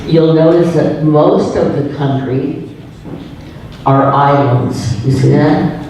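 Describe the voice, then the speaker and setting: A man's voice: a little high, fairly even intonation, takes it slowly, darkish tone, heavy reverb, distant mic, a little hiss or hum, poor audio. A man hosting a class session, introducing a guest in a chatty, joking way. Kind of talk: classroom presentation.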